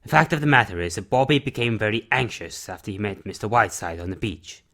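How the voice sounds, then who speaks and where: A man playing a principled, protective recluse in an audio drama, with a measured, formal way of talking. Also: lowish voice, lively intonation, a bit bright. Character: principled, protective male recluse who shelters a runaway.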